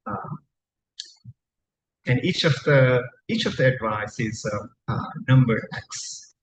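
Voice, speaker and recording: A man from Nepal whose native language is Tamang, a poet giving a live reading with a deliberate, measured manner and frequent pauses. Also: medium pitch, animated. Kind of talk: live poetry reading with spoken introductions. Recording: dull, echoey room recording, faint noise.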